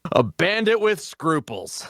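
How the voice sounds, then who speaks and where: A man playing a skeptical, mocking lawman adventurer in a dramatic table read, questioning in a sardonic, deliberate way. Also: high-pitched, fairly bright tone, no echo, clean studio sound, exaggerated voice.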